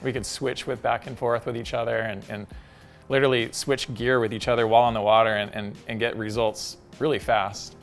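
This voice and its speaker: A middle-aged man from Hawaii talks fast and eagerly about his work, dropping in "like" and "you know". His voice sits in the middle range. Light music plays faintly in the background. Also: medium pitch, little pitch movement. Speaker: company co-founder. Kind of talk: casual promotional chat.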